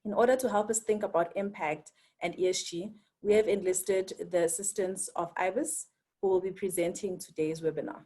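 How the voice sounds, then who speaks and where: A South African woman opens an online webinar, speaking in a measured, formal way from prepared notes. Her voice sits in a middle register, and she adds the odd filler as she reads. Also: medium pitch, darkish tone. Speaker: webinar moderator. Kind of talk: formal scripted introduction.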